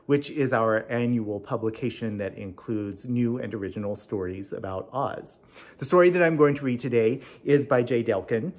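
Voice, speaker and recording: A man introducing and reading a story aloud in a storytelling style. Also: mid-range voice.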